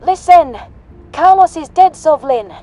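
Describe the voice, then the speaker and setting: A woman playing a distraught human soldier who yells commandingly in a sci-fi audio drama. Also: high-pitched, animated, a little slow. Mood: commanding, upset. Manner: commanding yell. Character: commanding, distraught human female soldier breaking grim news.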